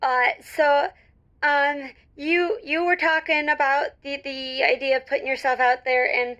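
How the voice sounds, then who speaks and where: A woman playing a shy, lonely first-time radio caller in an audio drama, nervous and halting, with frequent stammers, on a slightly dull caller line. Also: sits high, fairly even intonation, bright, forceful tone. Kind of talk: semi-improvised audio drama, call-in show.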